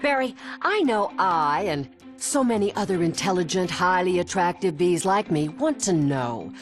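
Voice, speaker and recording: A woman's voice: on the low side, animated, normal speed, soft background music, lightly acted. A woman voicing a vain, demanding bee talk-show interviewer in a cartoon game. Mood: smooth, prying. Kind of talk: broadcast interview acting.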